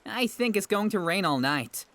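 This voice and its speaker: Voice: mid-range voice, expressive, moderate pace, a bit bright, dry recording, natural voice. A performer voicing an eager, carefree young male adventurer in a fantasy audio drama, with a casual, guileless way of talking. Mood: gentle.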